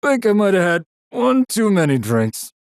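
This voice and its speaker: A man's voice: sits high, very animated, moderate pace, clear, resonant tone, no room sound, studio quality. A man voicing a keen, hard-drinking tournament spectator in a video game. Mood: enthralled. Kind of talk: video game crowd barks.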